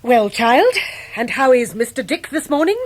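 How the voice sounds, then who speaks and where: An actress playing a brusque, eccentric older English great-aunt in a radio drama, blunt, emphatic and fiercely protective. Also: female voice, a little high, very animated, moderate pace, low-quality recording. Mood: brisk, probing. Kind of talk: 1990s British radio drama acting.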